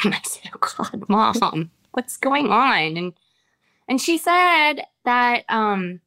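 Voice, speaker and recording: An American woman, an actress with children of her own, giving reflective interview answers with many pauses and restarts. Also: mid-range voice, sharp tone, clear, resonant tone. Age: adult with children. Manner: thoughtful, halting, many restarts and fillers.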